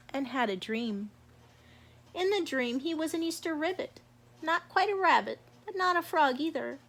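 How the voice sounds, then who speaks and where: A woman's voice: high-pitched, fairly bright tone. A woman narrating a children's storybook as a read-aloud. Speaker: storybook reader.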